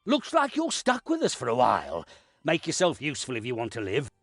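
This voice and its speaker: An actor playing a stern, authoritative lord of a castle in a video game, husky and deliberate. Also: male voice, medium pitch, wildly swooping pitch, fairly bright tone, no room sound, soft background music, exaggerated voice. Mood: commanding, urgent. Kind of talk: video game cutscene acting.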